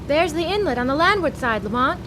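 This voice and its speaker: A woman's voice: sits high, animated, fairly quick. An actress playing an alert, anxious female companion to a crimefighter in an old-time radio drama. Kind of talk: 1940s radio drama acting. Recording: clear noise behind voice.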